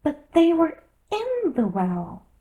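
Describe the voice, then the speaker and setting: A woman's voice: high-pitched, wildly swooping pitch, slow, mellow, voice pushed up, heavily acted. A woman voicing a polite, earnest, curious young girl who is easily offended, in a storybook reading. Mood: curious, puzzled. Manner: earnest, questioning.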